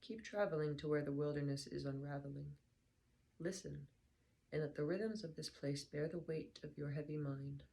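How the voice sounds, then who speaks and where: A young woman speaking in a very low, gravelly voice that has gone hoarse and worn. She sounds tired and talks in a soft, unhurried way as she chats and reads aloud. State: hoarse, slightly lost voice. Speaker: book reader vlogger. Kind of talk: casual chat then reading aloud.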